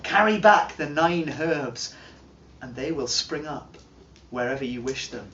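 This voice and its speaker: Man, storyteller, slow chanting recitation, roaring calls and hushed murmurs, roomy dull far-off recording, drumming behind. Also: on the high side, animated, a little hiss or hum. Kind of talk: dramatic recitation of an old charm. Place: heritage site, live performance room.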